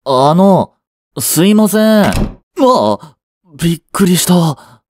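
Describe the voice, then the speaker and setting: Sulky young boyfriend played by a male actor: curt, skeptical, widely varied pitch, dry close sound, romance audio drama. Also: expressive, takes it slowly, a little mellow, no echo. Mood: hesitant, puzzled. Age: young adult. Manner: blunt, muttering, sighing.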